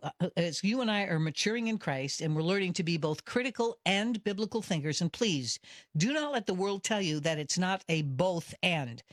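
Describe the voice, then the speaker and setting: High-pitched male commentator, dry close sound. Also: fairly bright tone, dry recording. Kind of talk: commentary on played audio.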